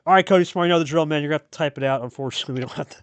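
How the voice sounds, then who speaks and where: A man's voice: a little high, very fast, clean tone, no echo. A man hosting and calling a card game match in a casual, chatty play-by-play style, repeating phrases for emphasis. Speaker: host and play-by-play commentator.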